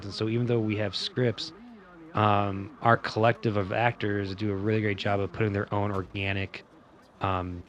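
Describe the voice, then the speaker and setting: A man, a filmmaker, talking in a relaxed, fairly monotone way during a commentary, with plenty of casual fillers. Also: on the low side. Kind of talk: director's commentary chat.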